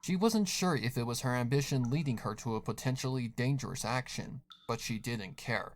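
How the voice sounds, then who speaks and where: Man narrating a horror story, medium pitch. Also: moderate pace. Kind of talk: scripted third-person horror story narration.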